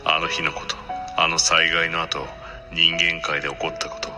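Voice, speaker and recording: Give this audio drama a man playing a grave master of magic with a very deep voice. He is solemn, weary and resolute, and barely varies his pitch. Music plays clearly behind him. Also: little pitch movement, moderate pace. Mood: grave, demanding. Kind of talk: Japanese audio drama acting.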